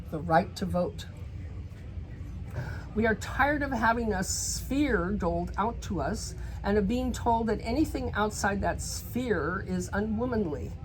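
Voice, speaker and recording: A woman reads a picture book aloud to children, pausing for emphasis and speaking directly to her young listeners. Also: mid-range voice, a little mellow, poor audio. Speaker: children's story reader. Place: bookstore in a shopping center.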